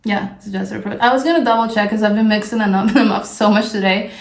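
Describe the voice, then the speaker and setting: A woman's voice: medium pitch, steady tone, fast talker, creaky. A woman from Brazil giving a casual, upbeat tarot reading, talking with lots of fillers. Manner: chatty, upbeat, many "like" fillers. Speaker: tarot reader. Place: sunny room with plants. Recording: echoey room, faint noise.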